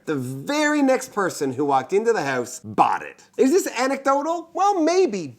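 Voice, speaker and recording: Man, higher-pitched, very lively intonation, casual storyteller, joking, conversational host. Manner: joking, self-interrupting, conversational. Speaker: real estate agent and show host.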